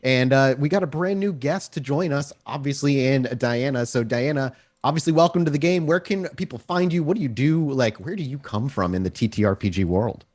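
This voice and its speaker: A man with a medium-pitched voice hosts a live stream. He talks casually, introducing things as the storyteller of a game group. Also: animated, fairly quick, few pauses, a little mellow.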